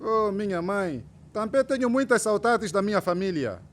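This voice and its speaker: Very high, animated young Mozambican man. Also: moderate pace, slight background noise. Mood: affectionate. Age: 20s. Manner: animated, affectionate, playful. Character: eager, idealistic young male trainee teacher. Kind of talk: radio drama acting.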